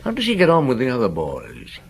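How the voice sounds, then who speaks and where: A man playing a patient, probing chief inspector in a radio drama, questioning deliberately with musing hums. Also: mid-range voice, wildly swooping pitch, vocal fry, a little hiss or hum. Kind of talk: radio drama acting and first-person narration.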